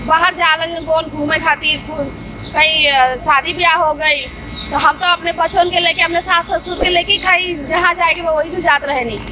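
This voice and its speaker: A woman's voice: very high-pitched, steady tone, moderate pace, noisy background. A woman playing a suspicious, jealous village wife in a comedy phone quarrel, complaining insistently with a Bhojpuri accent. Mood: insistent, aggrieved. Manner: complaining, insistent, repeating herself.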